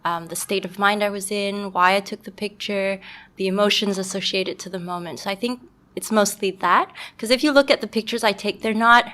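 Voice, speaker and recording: A woman from Montreal, a guest on a podcast, speaking thoughtfully and pausing often with ums. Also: medium pitch, fairly bright tone. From Montreal, Canada. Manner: thoughtful, hesitant, many ums. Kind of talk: casual conversation.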